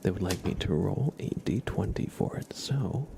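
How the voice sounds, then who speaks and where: A man hosting an ASMR stream, speaking softly and often in a whisper, gently and casually as if chatting with viewers. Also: low voice, normal speed, vocal fry, a little hiss or hum. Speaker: ASMR livestream host. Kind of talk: ASMR, soft-spoken and whispered.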